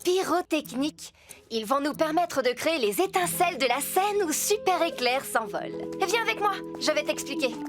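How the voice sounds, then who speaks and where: An actress voicing a patient film effects technician in a cartoon, explaining things clearly and step by step. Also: female voice, high voice, expressive, normal speed. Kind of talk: French cartoon dubbing. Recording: soundtrack music behind.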